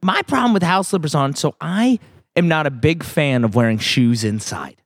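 A high-voiced man banters on a group podcast, swinging widely in pitch as he goes. He is joking and swears freely, recorded dry and close. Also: animated, moderate pace, no room sound. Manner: profane, joking. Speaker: podcast host.

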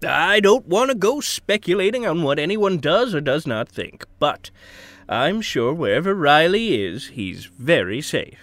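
A genial older small-town mayor in an audio drama. The voice sits fairly high and swings widely in pitch, recorded dry and close. He is folksy and avuncular, always hedging his way around a straight answer. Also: sing-song, a little slow, fairly bright tone, dry recording, heavily acted. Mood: guarded, confiding.

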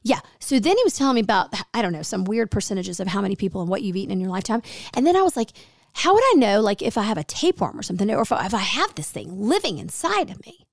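A middle-aged woman chatting on her podcast, telling stories and playing out both sides of the conversation. Her voice is a little gravelly and hoarse, with a medium pitch. The sound is close and dry. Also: sing-song, very fast, dry recording. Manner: chatty storyteller, acts out quoted dialogue. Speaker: podcast host. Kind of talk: casual chat.

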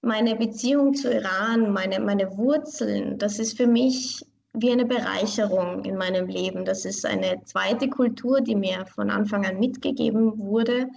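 A woman's voice: mid-range voice, measured pace, creaky, a little mellow. A young woman from Vienna, a pianist answering interview questions in a thoughtful, earnest way and often restarting phrases. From Vienna, Austria. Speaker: pianist and online piano teacher.

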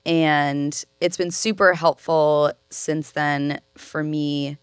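A woman's voice: deep voice, fairly even intonation, slow, clear, resonant tone, no echo. A woman in her twenties, a guest on a family podcast, chatting casually with dry, wry humor. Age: 20s. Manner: dry, wry one-liners. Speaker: guest, host's daughter.

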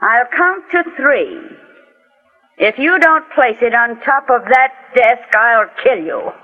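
A woman's voice: fairly high pitch, lively intonation, slow pace, faint music behind. An actress playing a greedy, murderous society woman in an imperious, menacing manner in a 1940s radio drama. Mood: cold threatening. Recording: tinny, band-limited 1940s radio recording.

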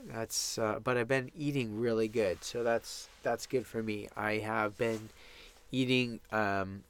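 A man's voice: on the low side, steady tone, a little slow, smooth. A man hosting his own podcast, talking casually and upbeat with frequent restarts, sounding stuffed up from allergies, recorded in a small home sound booth. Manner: rambling, upbeat, with many restarts. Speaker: podcast host. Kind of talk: casual solo podcast monologue.

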